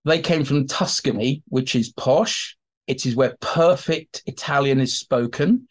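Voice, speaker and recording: A man's voice: mid-range voice, a little slow. A man speaking as an expert guest in an interview, explaining history in a chatty, informal way and slipping into acted-out asides.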